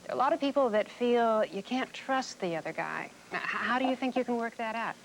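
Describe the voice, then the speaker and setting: A woman's voice: a little high, lively intonation, a little fast, rough recording. A woman, speaking as a television host who asks questions and reads introductions. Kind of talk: interview questions and scripted introductions. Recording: faint hum and background noise.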